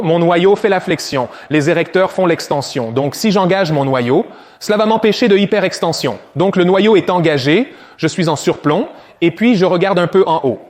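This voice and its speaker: A man coaching viewers through a back exercise, giving direct step-by-step instructions on form. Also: sits high. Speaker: fitness coach.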